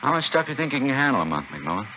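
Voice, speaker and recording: An actor playing a slangy, offhand narcotics dealer in a 1950s radio crime drama. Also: male voice, mid-range voice, sing-song, rapid-fire. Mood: businesslike. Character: male narcotics dealer, casual, wary, streetwise. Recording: dull noisy vintage radio recording.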